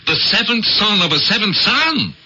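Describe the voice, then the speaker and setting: Wildly animated, penniless dreamer. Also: male voice, high-pitched, normal speed, slight background noise, exaggerated voice. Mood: dreamy, boastful. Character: penniless, defiant, fatalistic male dreamer. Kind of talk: old-time radio drama acting. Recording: dull lo-fi radio broadcast.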